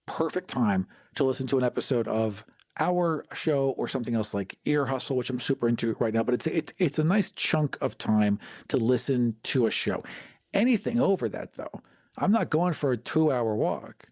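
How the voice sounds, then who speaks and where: A man's voice: medium pitch, expressive, moderate pace, a little mellow, dry recording, clean studio sound. A man co-hosting a podcast, chatting casually and playfully about tabletop games in a conversational way. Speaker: podcast host.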